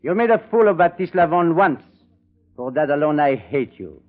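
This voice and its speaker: A male actor as a suave French villain from a 1940s radio thriller. His raised voice swings widely in pitch, with formal, theatrical menace and a French accent. The old recording is narrow and tinny. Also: lively intonation, moderate pace, lightly acted. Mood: cold menace. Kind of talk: 1940s radio detective drama acting.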